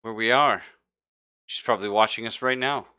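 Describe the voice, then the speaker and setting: A man playing a desperate, guilty crewman who confesses in halting, defensive bursts in a tabletop game. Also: on the low side, sharp tone, smooth, dry recording, studio quality. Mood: shaken, confessing. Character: desperate, guilty male crew member, gasping and pleading.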